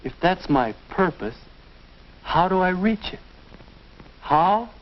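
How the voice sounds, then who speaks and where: A man's voice: high-pitched, big pitch swings, full character voice. A young American man acting as an earnest teenage boy thinking aloud in a 1950s educational film. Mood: earnest, determined. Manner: deliberate, self-questioning, earnest. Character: earnest teenage boy working out his future. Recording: dull vintage 1950s film soundtrack.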